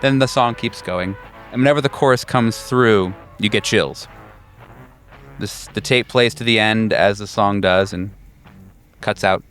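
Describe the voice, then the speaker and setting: A man narrating a tabletop horror game as game master, in a measured storytelling style. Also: fairly low pitch, a bit bright. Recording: faint music under the voice. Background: music.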